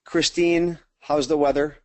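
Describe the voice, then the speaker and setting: A man's voice: fairly high pitch, little pitch movement, a little slow, a little mellow, no echo. An American man leading a casual webinar as a sales trainer, chatting informally and speaking straight to his attendees.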